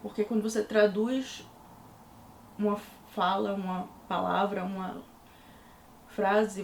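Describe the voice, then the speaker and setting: A woman's voice: mid-range voice, a little mellow. Chatty recife girl w pernambuco accent, lots of fillers, bit of room echo, faint hiss. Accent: Recife Pernambuco Portuguese. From Recife, Pernambuco, Brazil. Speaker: book reviewer. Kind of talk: casual book review vlog. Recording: echoey room.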